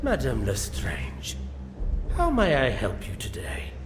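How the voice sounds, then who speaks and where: An actor playing an officious, fussy bank teller in a video game, pompous and formal. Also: male voice, medium pitch, wildly swooping pitch, takes it slowly. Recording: music behind the voice.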